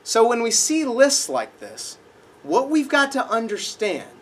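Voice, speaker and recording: A young man preaching a sermon in a dark auditorium, a little nasal from a cold, animated and acting out voices in his stories. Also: very high voice. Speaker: pastor. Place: dark auditorium with projected screen.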